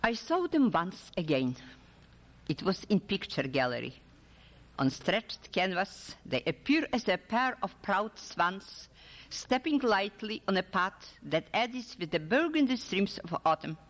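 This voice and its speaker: A woman reading literary prose aloud with a dramatic, measured storytelling delivery. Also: mid-range voice, big pitch swings. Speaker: author or reader at a literary event. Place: large hall at a public literary event. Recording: echoey hall with long reverb.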